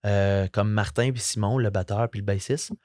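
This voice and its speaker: A young man with a Franco-Ontarian French accent, a musician chatting as a guest, relaxed and rambling with lots of fillers. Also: on the low side, normal speed, no room sound, clean studio sound. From Eastern Ontario, Canada. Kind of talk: casual interview chat.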